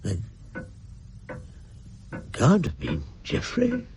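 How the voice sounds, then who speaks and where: A man's voice: fairly high pitch, wildly swooping pitch, very slow, gravelly, noisy background, heavily acted. An actor playing an anxious, distracted but kindly elderly grandfather in a radio drama, speaking in a hesitant, faltering way. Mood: uneasy, faltering. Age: older.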